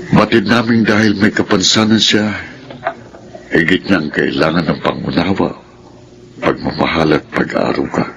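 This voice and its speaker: Very deep-voiced, grave Filipino father. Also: a bit bright, slight background noise, exaggerated voice, rough recording. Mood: reflective, heavy-hearted. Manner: solemn, measured, earnest. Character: loving, accepting older father, grave and resigned. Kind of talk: Filipino radio drama acting.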